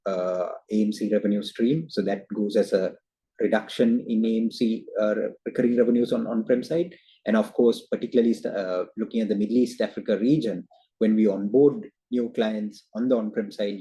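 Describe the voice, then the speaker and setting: A man giving a formal investor presentation as a company executive on a video call, halting often with hesitant filler sounds. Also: on the low side, warm tone, husky, poor audio. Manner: hesitant, halting delivery with frequent uhs.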